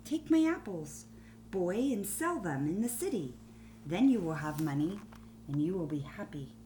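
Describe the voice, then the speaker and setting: A woman voicing a gentle, loving female tree in a children's storybook, with a tender, giving manner. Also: lowish voice, lively intonation, unhurried, a little hiss or hum, poor audio. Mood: eager, loving.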